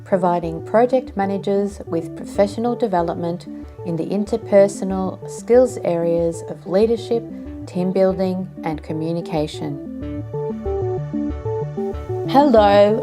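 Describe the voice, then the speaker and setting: A middle-aged woman hosting a solo podcast, sounding nasal from a cold and explaining in a measured way. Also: on the low side. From Australia. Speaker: podcast host.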